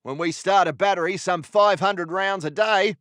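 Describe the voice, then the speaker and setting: A man's voice: high voice, moderate pace, very noisy, lightly acted. A man playing a seasoned, grumbling artillery gunner in a war game, matter-of-fact in manner. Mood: instructive. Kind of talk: video game unit voice lines.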